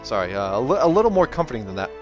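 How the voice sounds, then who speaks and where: A man's voice: medium pitch, very animated, racing, lo-fi sound. A man hosting a read-aloud of a fantasy novel, mixing narration with casual reactions. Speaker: reader and host. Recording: music behind the voice.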